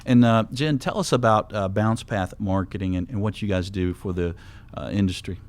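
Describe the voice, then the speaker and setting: A man's voice: lowish voice, normal speed, a little mellow. A man hosting a radio talk show, upbeat and chatty, who laughs easily with his guests.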